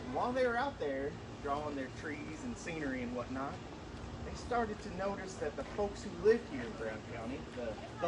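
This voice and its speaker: A man hosting a live show, telling stories to an audience in a folksy, colloquial way with rural turns of phrase. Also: high voice. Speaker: puppet show host and storyteller. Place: live show at an art guild event. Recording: distant mic, noisy live event ambience.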